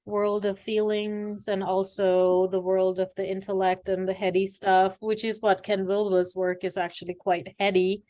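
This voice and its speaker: Woman from sri lanka, 40s, mid-pitched voice, talks thoughtfully with lots of ums. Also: flat delivery, normal speed, hardly any pauses. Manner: reflective, warm storytelling with fillers. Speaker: guest, executive coach. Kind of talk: conversational interview.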